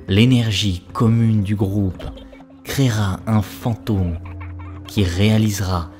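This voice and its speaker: A man's voice: lowish voice, steady tone, slow pace, a little mellow, faint music behind. A man playing an earnest, devoted young spiritual seeker in love, in solemn cartoon dub dialogue. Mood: earnest, preaching.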